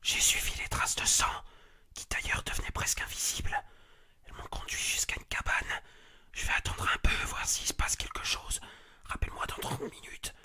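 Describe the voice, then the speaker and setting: A man's voice: normal speed, sharp tone. A man playing an urgent, frightened member of a search party making radio reports in a horror drama. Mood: hushed, fearful. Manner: urgent, whispering, breaking into screams and sobs. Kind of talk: dramatised horror story acting.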